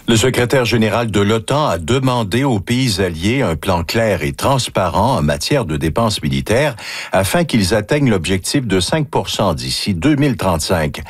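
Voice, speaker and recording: Low husky male news anchor. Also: animated, normal speed, no room sound, clean studio sound. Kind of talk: scripted news reading.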